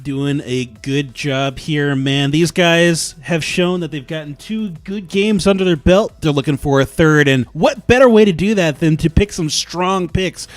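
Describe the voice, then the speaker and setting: A young man doing loud, casual, joking play-by-play for an esports match. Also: on the high side, measured pace, hardly any pauses, no room sound, soft background music. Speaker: play-by-play caster. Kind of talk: esports commentary.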